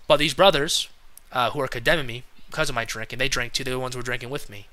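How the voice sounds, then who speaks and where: A man in his early twenties giving an earnest, casual spoken sermon, halting often with fillers and restarts. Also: mid-range voice, steady tone, pushed and bright. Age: 20s. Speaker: lay preacher.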